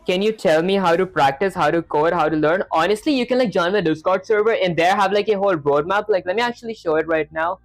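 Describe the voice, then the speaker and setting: A man's voice: high voice, quick pace, hardly any pauses, soft background music. A young man live-streaming his programming practice, talking casually and rambling with lots of filler words while he reads chat. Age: young adult.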